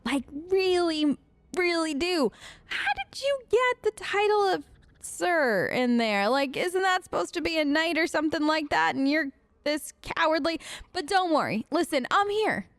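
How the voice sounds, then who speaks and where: A woman's voice: extremely high pitch, expressive, measured pace, no room sound. A woman hosting a role-play let's play, chatty and playful, talking close to the microphone. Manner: playful, bantering. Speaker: gaming video host.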